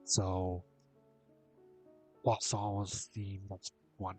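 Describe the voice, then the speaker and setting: Male gameplay streamer: lowish voice, plain and offhand, reading lines aloud over game music. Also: little pitch movement, drawn-out words, darkish tone. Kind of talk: casual game playthrough, reading dialogue aloud.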